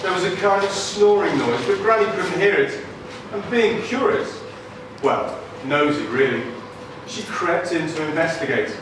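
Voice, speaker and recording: Guy telling a puppet show story live, highish voice, really roomy and noisy recording. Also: animated, measured pace. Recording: distant, very echoey, noisy live hall.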